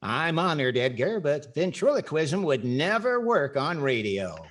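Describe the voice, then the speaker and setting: A man's voice: fairly high pitch, big pitch swings, measured pace, fairly bright tone, heavily acted. A man playing a wry, skeptical radio comedy star in 1940s style, with theatrical timing.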